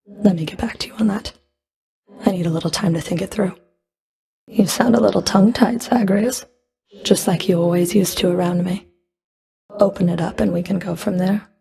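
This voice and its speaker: A woman playing a cold, proud Fury of the underworld in a video game, dry and cutting, with a creaky edge to her voice. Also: mid-range voice, dark tone, close to an everyday voice. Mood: cool, measured. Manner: dry, cutting, haughty, controlled. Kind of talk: video game character voice lines.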